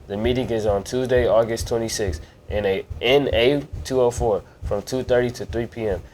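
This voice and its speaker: A man's voice: medium pitch, normal speed, darkish tone, a little hiss or hum. A man reading school announcements plainly, with a small slip.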